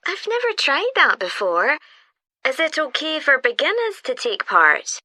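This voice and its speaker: Bright-voiced, keen British newcomer. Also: female voice, sits high, wildly swooping pitch, measured pace, dry recording, studio quality, full character voice. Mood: keen, polite. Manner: polite, eager, inquiring. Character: keen, friendly female newcomer asking to volunteer. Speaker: actor in a listening test dialogue. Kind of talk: scripted everyday conversation, clearly enunciated.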